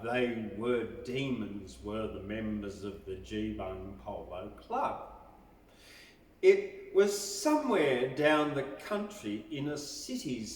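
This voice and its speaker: A man reciting a bush ballad aloud as a poetry reader, with a deliberate, emphatic storytelling delivery. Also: mid-range voice. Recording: echoey hall, distant mic.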